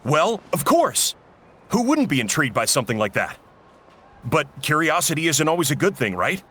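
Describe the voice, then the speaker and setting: Genial uncle-type male cook for a game: somewhat high, very animated, slightly dramatic. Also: moderate pace, slightly rough, heavily acted. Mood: cautionary. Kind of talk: English video game voice acting.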